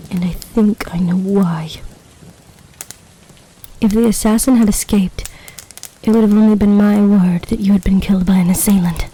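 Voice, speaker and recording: Woman voicing a mellow, regal snake empress. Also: medium pitch, a little hiss or hum, natural voice, poor audio. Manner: formal, stately, dramatic phrasing. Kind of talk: roleplay audio drama.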